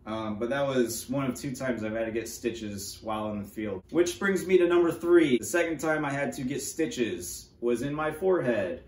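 A man's voice: mid-range voice, expressive, normal speed, few pauses, darkish tone. A man in his thirties with a North American accent, casually telling stories as a mechanic in a plain-spoken, matter-of-fact way. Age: 30s. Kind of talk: casual storytelling to camera. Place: wooden workshop or garage, indoors. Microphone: camera a few feet away. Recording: roomy echo, distant microphone.

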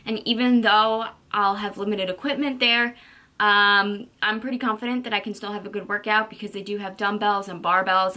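A young woman in her twenties talks straight to a nearby camera in a home bedroom, upbeat and chatty with the odd "um" and "you know". Her voice has a bright, forward tone, though her pitch sits in an ordinary middle range for a woman. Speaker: fitness vlogger. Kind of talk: casual talk to camera.

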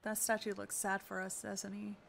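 A woman's voice: medium pitch, flat delivery, normal speed, creaky, a bit bright. Woman acting a casual thirties woman, faint hiss. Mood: bemused. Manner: casual, conversational. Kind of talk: amateur comic dub acting. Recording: faint hiss on home mic.

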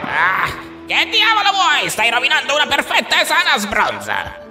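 A man's voice: very high-pitched, wildly swooping pitch, moderate pace, sharp tone, soft background music, full character voice. A man voicing a grumpy, blustering old hard-drinking ninja in an Italian cartoon fan dub. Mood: angry.

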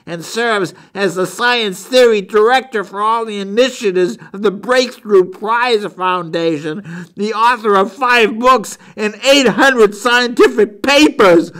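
Older man with a New England accent, halting. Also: sits high, fairly bright tone. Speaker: show host. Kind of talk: rambling monologue book review. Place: small meeting room indoors.

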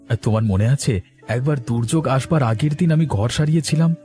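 A man voicing a calm, devout village husband in a cartoon, gentle and resigned as he reassures. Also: mid-range voice, a little fast, soft background music, close to an everyday voice. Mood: reassuring. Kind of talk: cartoon voice acting.

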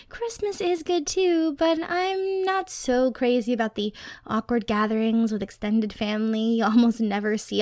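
A woman's voice: on the high side, no echo, studio quality, lightly acted. A woman playing a dorky, affectionate young girlfriend in a casual ASMR roleplay, chatty and teasing as she rambles. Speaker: roleplay voice actor.

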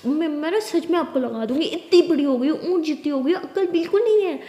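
A woman's voice: sits high, fast talker, dark tone, lightly acted. A woman with an Indian accent voicing a feisty, bossy young woman in a story, sassy and scolding but caring. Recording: echoey room with long reverb.

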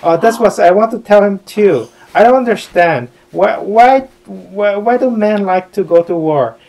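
Man playing a fussy, shrill little princess. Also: unhurried, darkish tone, rough recording. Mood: fretful. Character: fussy young pregnant princess, chattering.

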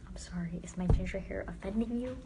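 A teenage girl chats loosely with viewers, giggling and drifting into soft, quiet asides. She is recorded up close on a phone's front camera in a small bright room. A faint hiss sits under her voice. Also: medium pitch, fast talker. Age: teens. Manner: chatty, giggly, lots of "like", trails into quiet asides. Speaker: live streamer answering viewer comments. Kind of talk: casual live stream chat. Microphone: phone front camera held close.

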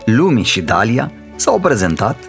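A man's voice: fairly low pitch, sing-song, gravelly, a bit bright. A man reading scripted narration for a children's fairy tale. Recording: voice over prominent background music. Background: music.

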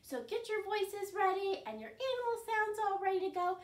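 An older woman hosting storytime for young children, speaking in an animated, clearly enunciated way and asking them questions. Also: very high-pitched, normal speed. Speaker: children's storytime host at a library. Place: living room at home. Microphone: camera a few feet away. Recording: roomy sound, distant microphone.

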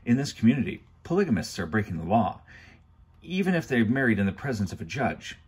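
A man's voice: lowish voice, very animated, a little fast, darkish tone, slightly rough, heavily acted. A man reading aloud and voicing a clever, bookish teenage boy who talks in an earnest, explaining way.

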